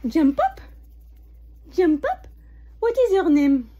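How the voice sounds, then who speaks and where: A woman playing a clumsy, silly French lung doctor for a children's skit, halting, playful and apologetic, with a French accent. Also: very high-pitched, huge pitch swings, dark tone, dull audio, pitched above the performer's own voice, full character voice. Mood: excited, playful. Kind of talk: improvised children's show skit.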